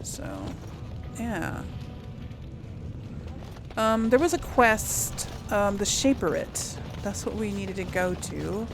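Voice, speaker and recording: Female let's play host, conversational and musing, mid pitch, with music underneath. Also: animated, takes it slowly, long pauses. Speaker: gaming channel host. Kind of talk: casual game role-play commentary.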